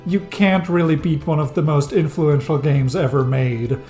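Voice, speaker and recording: A man's voice: on the high side, fairly even intonation, moderate pace, dark tone, faint music behind. A thirty-year-old man, a non-native English speaker, narrating a scripted game retrospective in a wry, enthusiastic way with dry jokes. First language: not English. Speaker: game reviewer. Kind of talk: scripted video-essay narration.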